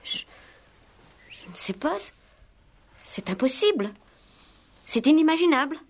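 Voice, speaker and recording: A woman's voice: high-pitched, very animated, unhurried, exaggerated voice. An actress in a 1960s French radio play as a refined married lady, upset, nervous and defensive, speaking with emotional hesitations. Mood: agitated.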